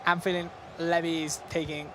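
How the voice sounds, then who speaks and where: A man with an Italian accent, native Italian speaker, doing excitable live esports commentary with frequent laughs. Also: fairly high pitch, moderate pace, noisy background. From Italy. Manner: excitable, laughs often, non-native phrasing.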